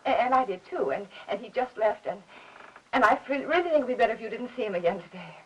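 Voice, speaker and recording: An actress playing an anxious, distraught housewife in a mid-century film noir, speaking in halting, urgent phrases. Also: female voice, a little high, expressive, breathy edge, muffled recording. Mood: flustered, evasive. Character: anxious, distraught housewife hiding her husband's death.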